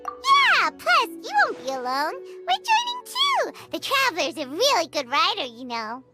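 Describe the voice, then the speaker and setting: A woman voicing a tiny, excitable, cheeky childlike fairy companion in a video game. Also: very high-pitched, measured pace, exaggerated voice. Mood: chirpy, bossy. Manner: refers to self in third person. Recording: game music loud behind voice.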